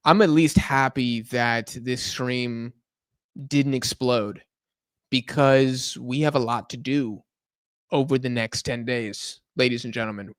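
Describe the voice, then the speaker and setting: A man's voice: mid-range voice, dry recording, studio quality. A tired young man hosting a casual live stream, chatting loosely and answering questions from the chat while losing his voice. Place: home room in Argentina.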